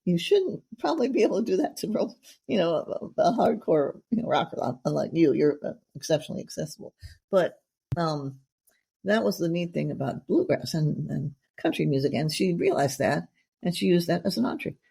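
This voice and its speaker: A woman's voice: lowish voice, expressive, brisk, creaky, dark tone, slightly rough. A middle-aged woman hosting a casual interview, chatty and effusive, rambling from one thought to the next. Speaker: podcast host.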